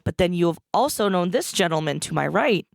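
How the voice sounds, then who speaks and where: A woman co-hosting a podcast in casual chat, with a hoarse, froggy voice, chatty and laughing often. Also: fairly low pitch, expressive, a little fast, dry recording, studio quality. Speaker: podcast co-host.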